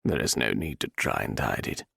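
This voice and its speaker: A man voicing a villainous nobleman, speaking low and silkily with quiet menace. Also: animated, brisk, creaky, breathy edge, dry recording, studio quality, heavily acted. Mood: silkily menacing. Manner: low, silky, threatening. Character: menacing silky male viscount villain.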